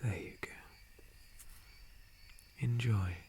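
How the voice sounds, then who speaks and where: A man playing a gentle, calm, friendly dryad forest guardian in a breathy ASMR whisper, with a soothing manner. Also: on the low side, slight background noise, heavily acted. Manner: slow, soothing, unhurried. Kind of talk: ASMR roleplay.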